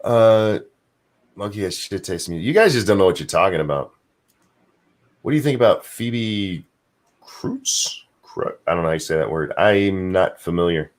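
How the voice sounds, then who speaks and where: A man with a very deep voice, co-hosting a casual livestream chat, rambling and swearing freely while he hunts for names he has forgotten. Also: animated, normal speed. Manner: profane, rambling, trails off searching for names. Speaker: podcast co-host.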